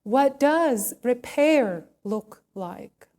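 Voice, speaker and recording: Woman minister giving a sermon, kinda high voice, slow and deliberate, asks questions as she goes. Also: lively intonation, a little mellow. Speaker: minister preaching. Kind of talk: sermon with storytelling and rhetorical questions.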